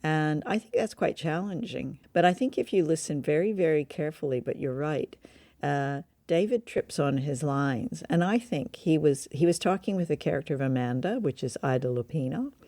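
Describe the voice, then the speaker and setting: A woman's voice: deep voice, lively intonation, normal speed, warm tone, no echo. A woman co-hosting a review show in casual, informative conversation. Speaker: co-host of an old-time radio review show.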